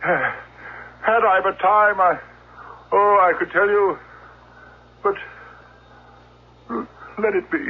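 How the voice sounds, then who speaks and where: An actor playing a deranged old-school tragedian, declaiming a dying farewell in anguished, halting, theatrical Shakespearean style. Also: male voice, high-pitched, slightly rough, full character voice. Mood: anguished, grandiose. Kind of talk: tragic Shakespearean stage declamation. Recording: muffled, narrow-band vintage radio broadcast.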